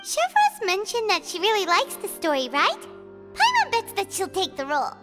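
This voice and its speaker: A woman's voice: very high voice, huge pitch swings, normal speed, big-room sound, loud music behind the voice, heavily acted. A woman voicing a tiny, perky, teasing fairy-like girl companion in a game, who talks about herself by name. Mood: coaxing.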